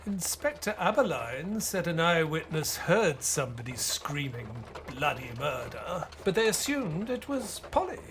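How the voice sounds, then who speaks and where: An expressive, higher-voiced Victorian doctor. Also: male voice, noisy background. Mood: curious, puzzled. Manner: formal, measured storytelling. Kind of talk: audio drama acting and scripted narration.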